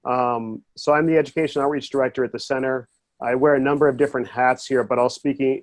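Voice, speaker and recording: Fast-talking man presenting over video call. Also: medium pitch, a little mellow, no echo. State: getting over a cold. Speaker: education and outreach director. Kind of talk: webinar presentation.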